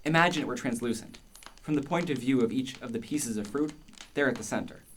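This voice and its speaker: A man's voice: mid-range voice, a little fast, a little hiss or hum, natural voice. A man playing a bold, speculative philosopher in a radio play, instructive and questioning in manner. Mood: inquisitive, persuasive. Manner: didactic, questioning, explains by analogy.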